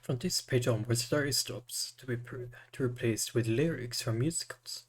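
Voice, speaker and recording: A man streaming a game, commenting casually and reading aloud in a halting way, stumbling over words. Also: mid-range voice, husky.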